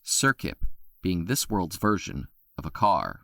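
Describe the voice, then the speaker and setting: A man narrating an audio drama with expressive storytelling. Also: low voice, unhurried, gravelly, dry recording, clean studio sound.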